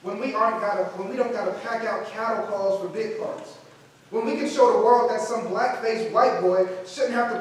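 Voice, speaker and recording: A man's voice: high voice, recorded from a distance, lightly acted. A man playing an idealistic, proud struggling actor in a staged play reading, earnest and defiant, in an echoey, noisy hall. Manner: earnest, brooding, impassioned.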